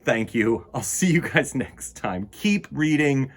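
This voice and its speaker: Animated, husky-voiced man hosting children's storytime. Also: medium pitch, slow pace. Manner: playful, whimsical, rambling with teasing questions. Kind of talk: picture-book reading and playful chat to children.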